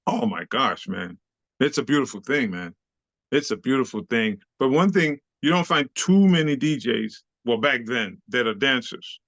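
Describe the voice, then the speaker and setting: A man talks in a casual interview. His is Haitian Creole, and he tells animated, slangy stories with frequent laughs. His voice has a gravelly fry, and the sound is dry and close. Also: mid-range voice, normal speed, no echo, lo-fi sound. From Haiti, raised in Brooklyn, New York. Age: 60s. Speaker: interview guest, club dancer.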